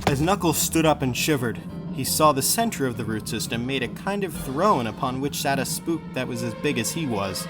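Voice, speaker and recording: A man's voice: mid-range voice, animated, normal speed. A man reading scripted story narration as a dramatic audiobook narrator. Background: music.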